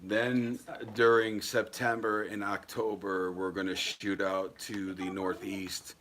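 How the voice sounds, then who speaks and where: A man's voice: low voice, level tone, takes it slowly, fairly bright tone. A man hosting a casual livestream chat, rambling with lots of ums and restarts. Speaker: paranormal channel host.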